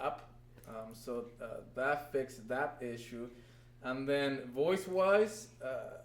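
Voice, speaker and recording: First guy chatting easy and upbeat in an echoey room. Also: medium pitch. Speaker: voice actor guest. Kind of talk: casual interview chat. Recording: roomy stream audio.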